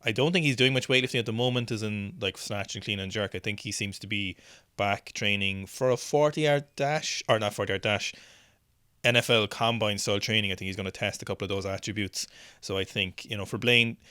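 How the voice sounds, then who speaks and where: A man's voice: on the low side, sharp tone, clean tone, no room sound. Chatty male host, dry close sound. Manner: chatty and thinking aloud, with many restarts. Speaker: news show host. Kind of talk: casual video commentary on weightlifting clips.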